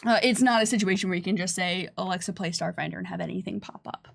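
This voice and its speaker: A woman's voice: on the low side, quick pace, gravelly. A woman presenting a casual livestream Q&A as a game developer, chatty and upbeat.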